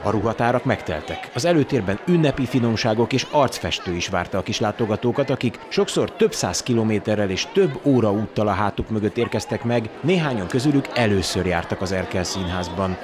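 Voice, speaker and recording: A man reading a scripted documentary voice-over in a measured, even way. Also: fairly low pitch, noisy background. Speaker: narrator of opera house magazine report. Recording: voice-over with loud orchestral music behind.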